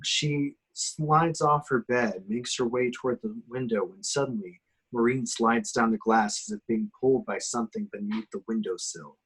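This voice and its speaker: A man's voice: mid-range voice, moderate pace, few pauses, a bit bright, breathy edge, rough recording. A man reading action description aloud at an amateur table reading, in a dramatic storytelling way with occasional stumbles. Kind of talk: scripted narration, amateur table read.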